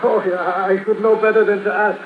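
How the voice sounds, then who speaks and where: A man playing a nosy, grasping American shopkeeper in an old radio play. His voice is very high for a man, and he chatters in a folksy, stammering way. The sound is tinny, with a faint noise behind it. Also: level tone, a little fast, lightly acted. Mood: chatty. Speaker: supporting actor. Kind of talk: 1950s radio drama acting. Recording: tinny, hissy 1950s radio recording.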